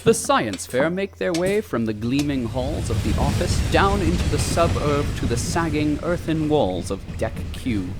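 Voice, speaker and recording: A man's voice: fairly high pitch, big pitch swings, measured pace, few pauses, over music. A man delivering scripted, dramatic narration as the narrator of an audio drama. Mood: narrating.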